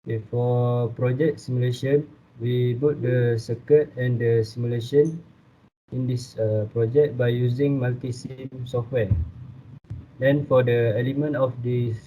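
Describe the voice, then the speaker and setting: A young man with a Malaysian accent giving a scripted student presentation, reading aloud haltingly. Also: medium pitch, fairly even intonation, warm tone. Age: young adult. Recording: tinny phone-like audio, faint noise.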